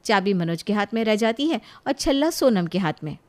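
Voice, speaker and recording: A woman's voice: medium pitch, expressive, fairly quick, a little mellow. A woman reading scripted narration for a moral family story.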